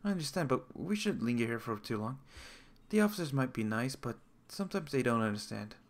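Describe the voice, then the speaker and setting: A man voicing a gentle, polite young girl who talks in a formal, cheerful, helpful way. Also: medium pitch, sing-song, brisk. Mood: concerned.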